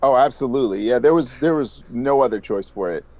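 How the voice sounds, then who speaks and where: A man's voice: mid-range voice, brisk, a little mellow. A middle-aged man, a voice actor and narrator, talking conversationally as an interview guest in an explanatory, enthusiastic way.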